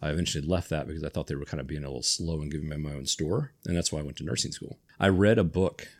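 A man's voice: very deep voice, rapid-fire. A middle-aged man hosting a podcast, chatting casually and rambling with restarts and enthusiasm. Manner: rambling, self-correcting, enthusiastic. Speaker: podcast host. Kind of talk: casual chat.

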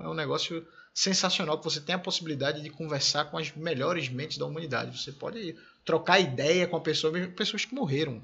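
A man's voice: fairly high pitch, creaky, fairly bright tone, lo-fi sound. A man in his thirties from Brazil hosting a casual conversation, telling enthusiastic anecdotes about his family.

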